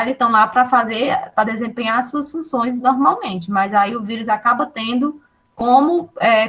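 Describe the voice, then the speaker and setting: A woman teaches an online class with a fairly high voice. She explains step by step and keeps checking with her students. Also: fairly bright tone, low-quality recording. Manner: explains patiently with many checking tags like "né" and "tá".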